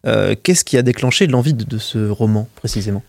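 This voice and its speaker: A man's voice: lowish voice, fairly quick, creaky, darkish tone, dry recording, clean studio sound. Friendly man hosting a radio interview. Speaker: radio host.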